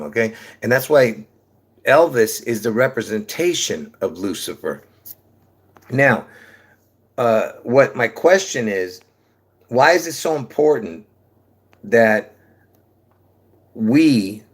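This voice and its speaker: A man with a New York accent hosting a livestream, talking to his viewers in a rambling monologue full of fillers, restarts and repeated points, calling them folks. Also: mid-range voice. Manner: repetitive, restarts often, many fillers, calls listeners folks. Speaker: livestream host.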